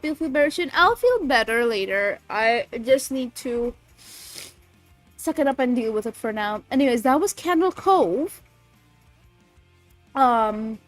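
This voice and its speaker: A woman's voice: high voice, no echo. A sniffly woman with a cold, chatting casually on a livestream and reading creepy stories aloud. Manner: bubbly, swears, reads chat aloud. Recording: faint music behind.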